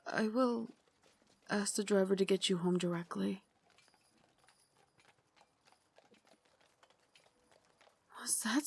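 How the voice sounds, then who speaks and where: A woman voicing a tender, guilt-ridden young Victorian vampire lady in an intimate ASMR roleplay, with formal, dramatic, confiding phrasing that slips into breathy whispers. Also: mid-range voice, a little mellow, no room sound. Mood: resigned.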